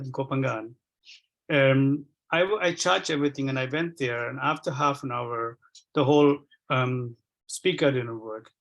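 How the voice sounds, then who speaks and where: Guy from sri lanka, tamil first language, talks slow and reflective, lots of restarts, mid-pitched voice. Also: vocal fry, a bit bright. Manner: halting, many restarts and repeated words. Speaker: energy work practitioner. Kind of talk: reflective casual sharing.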